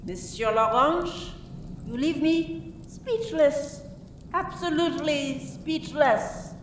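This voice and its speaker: A woman playing a formal, methodical French police inspector in a radio play, questioning deliberately and dropping in French phrases. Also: high-pitched. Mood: formal, probing. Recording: echoey room from far off, faint noise.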